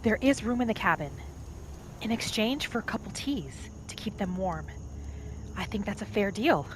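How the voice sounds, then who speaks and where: A woman playing a gentle, homesick wood elf wanderer who thinks aloud softly in a first-person video game roleplay. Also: medium pitch, animated, moderate pace, a little hiss or hum, lightly acted, poor audio. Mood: friendly, hopeful. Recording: music and rain behind the voice. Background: game music and rain.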